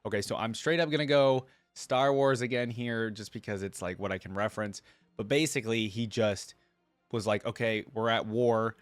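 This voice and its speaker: Male reaction host, lowish voice, dry recording, informal commentary and read-aloud delivery. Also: normal speed, dry recording, soft background music. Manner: informal, thinks out loud. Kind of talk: casual commentary mixed with reading aloud.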